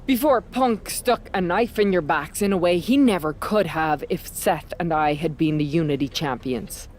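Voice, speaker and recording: A woman's voice: on the low side, moderate pace, darkish tone, a little hiss or hum, lightly acted. A woman playing a brash, scheming veteran wrestler in a video game cutscene, talking in a persuasive, conversational way.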